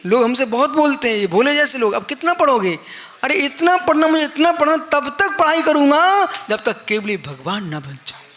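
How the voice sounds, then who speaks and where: High-voiced monk preaching to a crowd. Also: male voice, animated, normal speed, pushed and bright. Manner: conversational, questions the audience, acts out quoted people. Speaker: Jain monk giving a discourse. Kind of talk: sermon. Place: live assembly hall.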